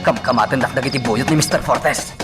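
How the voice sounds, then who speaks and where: A man's voice: mid-range voice, fairly quick, over loud music, natural voice. A man acting a desperate fugitive hunted by armed men in an Ilocano radio drama. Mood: desperate, urgent.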